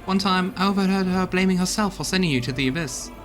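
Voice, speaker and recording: A man's voice: high-pitched, quick pace, faint music behind, natural voice. A man voicing a loyal, weary female army officer in a video game, talking in an earnest, folksy way. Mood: candid, confiding. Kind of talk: voiced video game dialogue.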